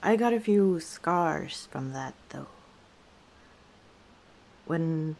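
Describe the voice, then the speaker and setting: A woman playing a guarded, gently wry orc tavern owner in an intimate ASMR roleplay, speaking reflectively with hesitant pauses. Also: low-pitched. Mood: subdued, rueful. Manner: unhurried, reflective, hesitant pauses, soft chuckles.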